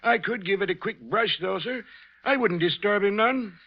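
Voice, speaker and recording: An actor playing a humble, deferential night sweeper in an old radio drama, with a folksy, hesitant, polite manner. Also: male voice, high voice, normal speed. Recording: noisy, muffled vintage radio recording.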